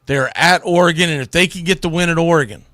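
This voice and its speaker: A man's voice: on the high side, quick pace, sharp tone, no room sound, clean studio sound. A man hosting sports radio, giving opinionated college football commentary in a blunt, emphatic, colloquial way.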